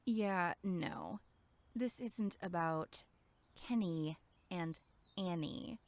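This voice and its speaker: A woman plays a young female camp counselor with a slightly low voice and a short fuse. She is curt and sardonic, acting in a horror audio drama with a snippy edge. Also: extremely slow, gravelly, a little mellow, dry recording, exaggerated voice. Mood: sarcastic.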